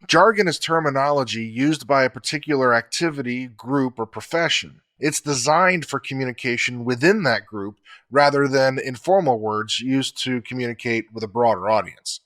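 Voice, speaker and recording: A man co-hosting a podcast, explaining facts in a measured, scripted way. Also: mid-range voice, fairly bright tone.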